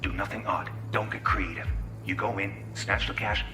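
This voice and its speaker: A man's voice: low-pitched, steady tone, normal speed, over music, lightly acted. Actor as aggressive male robber, echoey. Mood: curt, commanding. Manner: barking, clipped, profane. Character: aggressive, foul-mouthed armed robber barking orders. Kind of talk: video game crime-scene dialogue.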